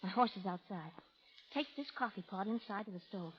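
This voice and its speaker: A woman's voice: medium pitch, lively intonation, a little fast, a little hiss or hum, lightly acted. An American actress playing a brash, tough, fearless frontier cowgirl with a folksy drawl, in 1930s radio drama style. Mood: urgent, hushed. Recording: muffled old radio recording.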